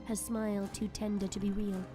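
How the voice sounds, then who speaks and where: A woman narrates a dramatic scripted story. Her pitch sits in the middle range for a woman. Music plays clearly behind her as she reads. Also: flat delivery, fairly quick.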